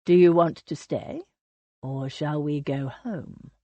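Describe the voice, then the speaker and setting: A woman voicing a brooding, teasing aristocratic husband with a slow, dark drawl. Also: low voice, fairly even intonation, dry recording, pitched below the performer's own voice.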